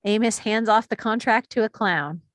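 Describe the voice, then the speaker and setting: A woman reading the action lines of a screenplay at a table read, in a steady, descriptive way. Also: a little high, normal speed, no echo, clean studio sound. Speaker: reader of stage directions.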